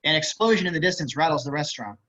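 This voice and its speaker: A man's voice: on the high side, expressive, rapid-fire, pushed and bright. A man reading screenplay action as scripted narration over a video call. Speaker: stage directions reader. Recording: slightly muffled video-call audio.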